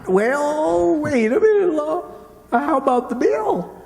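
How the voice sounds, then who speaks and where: Soft-hearted rural Southern sidekick, male actor, plaintive and folksy, very animated pitch, old-time radio style, long hall reverb. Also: slow, gravelly, heavily acted. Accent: rural Southern American. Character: Abner, soft-hearted, gullible, whiny rustic sidekick. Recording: reverberant hall stage recording.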